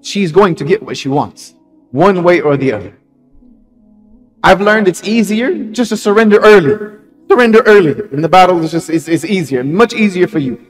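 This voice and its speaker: A man giving a Friday sermon, telling a story with lively emphasis, repeating phrases and putting questions to the congregation. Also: high-pitched.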